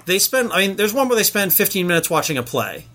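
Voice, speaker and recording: Guy on a podcast chatting about tv, bright forceful voice, lots of ums and restarts. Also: high voice, very animated, quick pace. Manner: rambling, many fillers and restarts. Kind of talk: casual chat reviewing TV shows.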